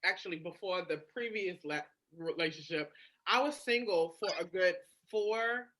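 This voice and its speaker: A woman talking candidly and casually about herself, expressive and emphatic, with frequent swearing. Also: fairly low pitch, moderate pace. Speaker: podcast guest or co-host. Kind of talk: candid casual personal storytelling.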